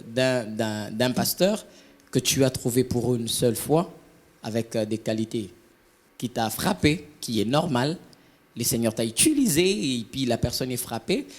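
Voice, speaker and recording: A man leading a church discussion on mentoring, asking questions and adding examples in a hesitant way with many fillers. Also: medium pitch. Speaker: interviewer in a church talk.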